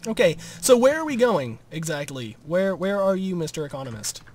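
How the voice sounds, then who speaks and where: A man's voice: high-pitched, sing-song, a little fast, darkish tone, a little hiss or hum. A teenage boy who makes the videos, chatting playfully with friends as he plays and throwing in mock-announcer asides. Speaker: player and video maker. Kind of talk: casual gaming chat.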